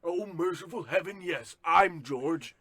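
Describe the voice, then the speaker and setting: A man playing a pompous, theatrical disgraced company president in a radio comedy play, with a husky voice. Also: a little high, animated, a little slow, pushed and bright, exaggerated voice. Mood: grandly relieved. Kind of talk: old-time radio comedy melodrama.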